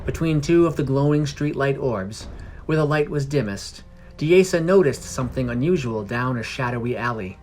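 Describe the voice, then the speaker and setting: A man's voice: mid-range voice, animated, normal speed, darkish tone. Man narrating a fantasy story over music. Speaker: podcast narrator. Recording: music under the voice.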